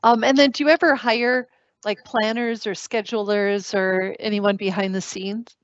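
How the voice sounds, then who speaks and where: A woman's voice: mid-range voice, steady tone, moderate pace, no echo. Upbeat woman hosting an online fair. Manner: upbeat, encouraging questions. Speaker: host. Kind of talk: moderating a virtual career fair. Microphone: video call. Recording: dry dull video call audio.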